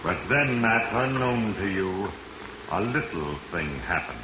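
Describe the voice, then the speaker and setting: An actor playing Fate, a grave all-knowing narrator passing solemn, deliberate judgement in a 1940s radio mystery. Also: male voice, on the low side, animated. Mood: grave, accusing. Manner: solemn, deliberate, ominous pronouncements. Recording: muffled, echoey, noisy 1940s radio recording.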